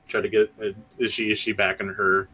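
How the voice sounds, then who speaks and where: Low-ish guy with a bright edge, polite, stammers a lot. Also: level tone, fairly quick, husky, low-quality recording. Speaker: tabletop game player. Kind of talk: casual in-character play.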